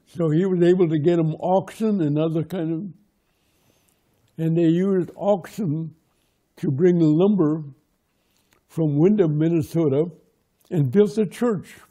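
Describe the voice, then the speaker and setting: A man whose first language is Lakota, from Pine Ridge, telling his community's oral history in measured, short, plain sentences. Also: a little high, mellow. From Pine Ridge, South Dakota. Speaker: interviewee, local historian.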